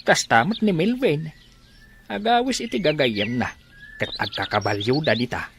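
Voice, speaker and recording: Easygoing young farm hand, male actor, Ilocano accent, respectful, animated delivery, radio drama, slight background noise. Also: a little high, normal speed. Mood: respectful, explaining.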